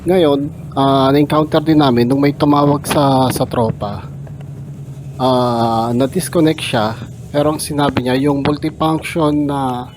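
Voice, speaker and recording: A man giving a casual, unscripted gear review as a vlogger, halting and repeating words as he searches for them. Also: mid-range voice, steady tone. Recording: clear vehicle noise behind voice.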